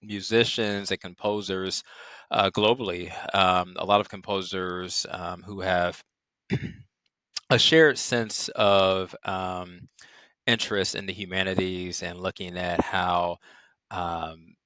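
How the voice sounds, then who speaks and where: A middle-aged man from Chicago, a psychiatrist guest on a podcast, speaking in a measured way with many "um" fillers. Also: sits low, fairly even intonation, bright, forceful tone, dry recording. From Chicago, Illinois. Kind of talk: podcast interview.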